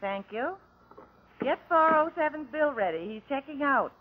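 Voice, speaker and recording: An actress playing a flustered, brisk female switchboard operator in an old-time radio drama. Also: high voice, lively intonation. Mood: businesslike. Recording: tinny, narrow old radio recording.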